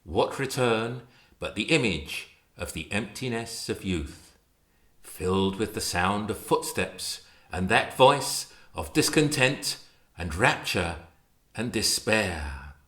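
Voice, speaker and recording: A man reading poetry aloud in a measured, expressive, declamatory manner. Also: on the low side. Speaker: poetry reader. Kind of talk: introduced dramatic poetry recitation.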